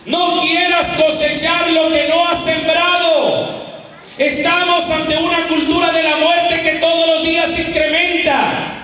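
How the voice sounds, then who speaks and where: Shouting preacher man in an echoey hall. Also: very high-pitched, flat delivery. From United States (Arizona). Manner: shouting, drawn-out emphatic words, prompting the crowd to repeat. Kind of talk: fiery call-and-response sermon. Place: large school hall with a congregation. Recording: echoey hall recorded from far off. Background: faint crowd and room noise.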